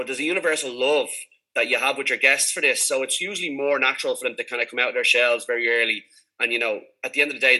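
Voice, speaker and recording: A man's voice: mid-range voice, bright, forceful tone, poor audio. A man from Dublin with a Dublin Irish accent, chatting casually and in a rambling, informal way as a podcast host being interviewed. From Dublin, Ireland.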